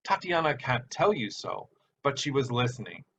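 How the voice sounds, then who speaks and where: A man's voice: medium pitch, big pitch swings, moderate pace, a bit bright. A man voicing an earnest young nephew who protests and pleads with his uncle. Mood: pleading. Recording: dull sound with background hiss.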